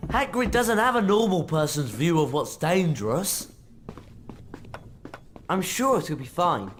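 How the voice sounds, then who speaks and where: A man's voice: high voice, animated, measured pace, a bit bright, soft background music. A young man playing a friendly, frank schoolboy wizard in a video game, with a chatty manner. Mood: reassuring. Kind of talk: video game character acting.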